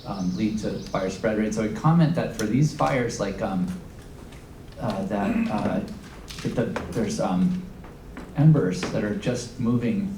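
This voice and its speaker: A man on a panel answering a question thoughtfully, with fillers and pauses. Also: fairly low pitch, warm tone. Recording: echoey hall, far from mic, noise.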